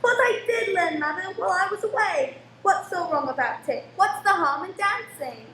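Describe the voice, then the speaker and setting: A woman's voice: extremely high pitch, animated, moderate pace, pushed and bright, full character voice. A woman playing a cheerful, outspoken young daughter in a radio play, spirited and a little defiant. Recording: echoey room with faint noise.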